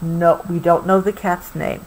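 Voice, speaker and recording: An American woman reading a picture book aloud to a child, expressively, pausing patiently to explain things in a casual way. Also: fairly low pitch, steady tone, a little mellow, a little hiss or hum, low-quality recording.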